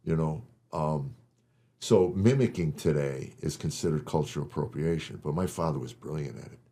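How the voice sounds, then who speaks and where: A man's voice: rumbling low, moderate pace, dark tone, husky. An older man and guest comedian telling stories in a casual, rambling chat, often repeating words as he starts a thought.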